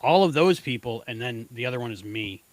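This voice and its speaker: A man's voice: mid-range voice, animated, fairly quick, dry recording, rough recording. A man co-hosting a casual podcast, bantering in a playful, teasing way. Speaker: podcast co-host.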